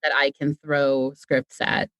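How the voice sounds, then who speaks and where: A podcast guest, a writer and director, telling stories in a chatty, animated way in a casual interview. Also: medium pitch, takes it slowly, clear, resonant tone, no echo.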